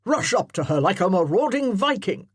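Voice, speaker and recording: A narrator voicing an older retired colonel who barks orders at amateur actors in a loud parade-ground manner. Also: mid-range voice, big pitch swings, normal speed, husky, no echo, clean studio sound. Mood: commanding. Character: commanding older male colonel, drill-sergeant bark.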